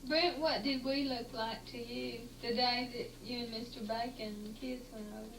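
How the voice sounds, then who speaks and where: A woman's voice: a little high, steady tone, normal speed, gravelly. A woman of forty, chatting with her family, reminiscing and prompting the others to speak up. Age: 40s. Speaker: mother in a family chat. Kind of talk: casual family chat. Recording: roomy, distant, faint hiss, 1980s home tape.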